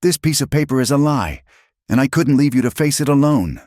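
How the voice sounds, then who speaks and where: A man's voice: deep voice, animated, moderate pace, darkish tone, no echo, clean studio sound. A man voicing an earnest, frustrated man pleading his love in an audiobook story, raw with emotion.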